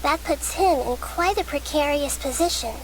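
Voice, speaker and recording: A woman playing a gentle, thoughtful young goddess of wisdom in a video game, calm and measured in formal phrasing. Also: sits high, natural voice, poor audio. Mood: composed. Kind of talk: video game character acting. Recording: game audio with background noise.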